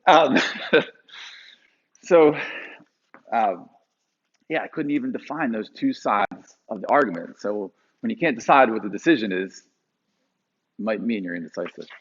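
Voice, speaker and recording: A man in his forties from Pennsylvania, telling a personal story to a live audience with animated delivery and frequent fillers. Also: lowish voice, unhurried, pauses often, husky, dull audio. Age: 40s.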